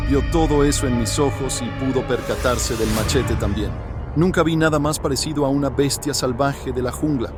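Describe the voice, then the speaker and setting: A man voicing an anguished, horrified man in a horror audiobook, confessing a killing in a hoarse, intense, dramatic way. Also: mid-range voice, close to an everyday voice. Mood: tense, grim.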